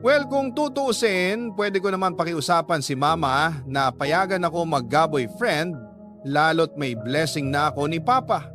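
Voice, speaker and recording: A woman's voice: low voice, animated, a little slow. An actress voicing a reserved young Filipino woman, telling her love story in a conversational radio drama style. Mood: reflective. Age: young adult. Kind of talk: first-person radio drama narration. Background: music.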